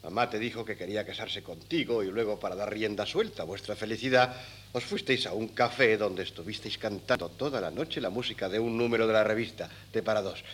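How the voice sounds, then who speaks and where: An actor playing a wry, cheeky young son in a 1970s Spanish radio comedy, sardonic and quick to retort. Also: male voice, fairly low pitch, fairly bright tone, lightly acted. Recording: faintly noisy 1970s cassette.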